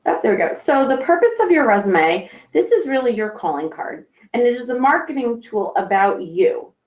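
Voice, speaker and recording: Slightly hoarse woman giving a career webinar, lots of ums, roomy muffled audio. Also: a little high, big pitch swings, moderate pace. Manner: friendly, with many ums. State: a little hoarse. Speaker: career counselor presenting a webinar. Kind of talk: presentation lecture.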